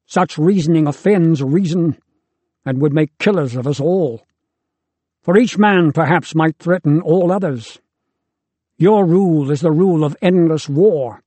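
A man's voice: a little high, measured pace, mellow, dry recording. A man voicing a proud, defiant male angel, speaking in a lofty, contemptuous, declamatory way. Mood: defiant, scornful. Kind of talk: audiobook character reading.

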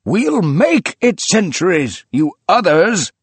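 A man doing a wild-eyed old rich man, voice pushed up high and loud, fierce and rapturous, drawing out the big words slowly and swinging his pitch all over the place. it sounds close and dry, no room at all. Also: sing-song, dry recording. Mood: commanding. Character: feverish, imperious old male book collector.

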